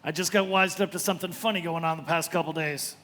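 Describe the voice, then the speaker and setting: An actor playing an ailing, anxious working-class garage owner, pleading and bitter, in a live radio play. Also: male voice, on the high side, steady tone, fast, a bit bright, lightly acted. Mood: desperate, uneasy. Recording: reverberant hall of a live performance.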